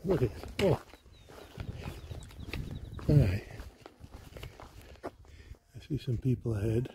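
An easygoing man narrates his hike into a handheld camera outdoors, upbeat and chatty. His voice sits in a middle pitch, and faint outdoor noise hums underneath. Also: animated, slow pace. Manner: upbeat, chatty, talking to viewers while hiking. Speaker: hiker filming his trail vlog. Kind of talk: casual walk-and-talk narration. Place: outdoors on a rocky mountain trail. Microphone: handheld camera while walking.

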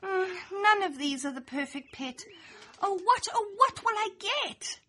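A young adult woman reads a rhyming picture book aloud, her voice high and bright with a slightly breathy edge. She takes her time, letting her pitch swing widely and playfully through each line. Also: unhurried. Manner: lively, playful, sing-song storytelling. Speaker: storybook reader. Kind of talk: expressive read-aloud children's story in rhyme.

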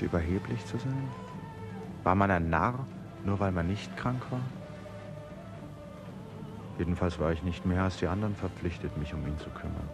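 An actor playing an earnest, resentful expedition painter who also narrates an old radio play. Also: male voice, sits low. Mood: resentful narration. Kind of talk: 1950s German radio drama acting. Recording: radio play with music underneath.

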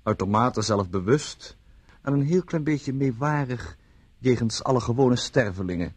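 Urbane jailed gambler, radio drama: husky mid-pitched voice, dry irony. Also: male voice, animated, unhurried. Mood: ironic narration. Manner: dry, ironic, articulate. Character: wry, urbane male gambler held in jail. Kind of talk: Dutch radio play acting and narration.

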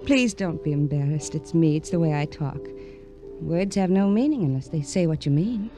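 An actress playing a lonely, enigmatic young woman in an old radio noir drama, with yearning, deliberate phrasing. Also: sits low, lively intonation. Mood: tender, vulnerable. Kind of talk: late-1940s to 1950s radio noir melodrama acting. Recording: dull old radio recording, music behind.

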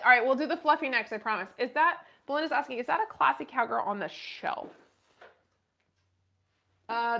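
A woman's voice: sits high, bright, forceful tone. A woman in her thirties hosting a live online purse auction in a shop, chatty and bubbly as she calls out bids. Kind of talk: live-stream sales auction. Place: western boutique shop, indoors.

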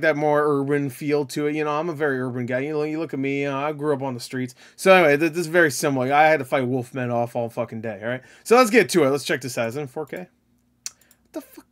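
A man in his thirties giving casual, profane reaction commentary in a joking, sarcastic, exclamatory way. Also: on the high side. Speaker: reaction video host. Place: small furnished room at home, indoors. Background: game trailer music and sound.

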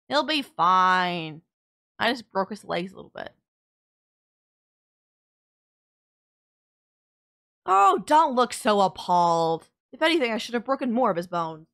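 A woman's voice: on the high side, a bit bright. A woman playing a sardonic villain nemesis in an audio roleplay, scolding and teasing the listener in an exasperated but caring way. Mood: wry menace.

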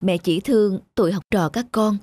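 A woman voicing a gentle, caring elderly village mother in a cartoon. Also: mid-range voice, moderate pace, dry recording, natural voice. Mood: tender. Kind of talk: cartoon dubbing.

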